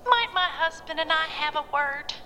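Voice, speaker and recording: A woman's voice: sits high, animated, sharp tone, faint music behind. A woman playing a genteel, cryptic wife who speaks out of a radio in formal, florid phrases in an audio drama. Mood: courtly, soothing. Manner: formal, florid, unhurried address.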